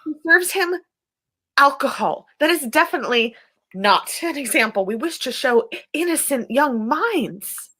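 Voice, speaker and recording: A woman's voice: high-pitched, expressive, a little slow, bright, forceful tone, heavily acted. A woman playing a prissy, moralizing small-town woman, prim and scandalized, in a community staged reading. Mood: prim disapproval.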